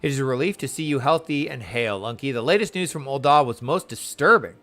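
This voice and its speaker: A man reads the part of an authoritative woman leader in a fantasy game. She is composed and formal. Her delivery is measured. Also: medium pitch, animated, a bit bright. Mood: composed, dry.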